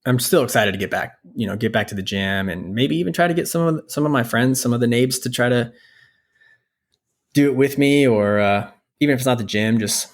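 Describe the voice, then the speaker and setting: A man's voice: medium pitch, brisk. Wry man in his thirties chatting. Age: 30s. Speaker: podcast co-host. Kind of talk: casual chat.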